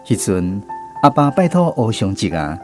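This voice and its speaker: A man's voice: fairly low pitch, sing-song, normal speed, over music. An actor playing a reflective storyteller who narrates his youth in a radio drama, conversational and explanatory, with a Taiwanese accent. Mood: reminiscing. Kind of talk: scripted first-person radio drama narration.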